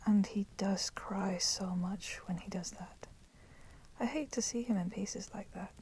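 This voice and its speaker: Woman as a slow, whispering female vampire. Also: medium pitch, lightly acted. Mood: reluctant, pitying. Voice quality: soft, breathy whispering. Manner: slow, deliberate, formal, menacing phrasing. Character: proud, resentful female vampire captor, coolly teasing. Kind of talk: ASMR roleplay, whispered. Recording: constant crackling fire noise behind voice. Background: crackling fire.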